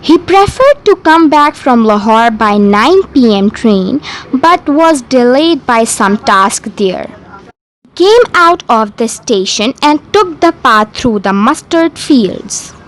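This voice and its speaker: A woman storyteller reading a scripted bedtime story aloud in a measured, deliberate way. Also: on the high side, big pitch swings.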